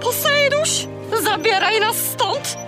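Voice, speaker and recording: A woman's voice: extremely high pitch, expressive, takes it slowly, music in the background, heavily acted. A woman voicing a fussy, easily frightened seagull with a whiny manner in a children's audio drama.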